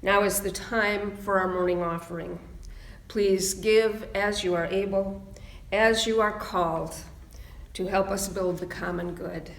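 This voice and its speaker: A woman leads a church service as its minister, reading in a slow, measured way. Her voice has an ordinary middle pitch, and the sound is roomy and echoing. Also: steady tone, gravelly.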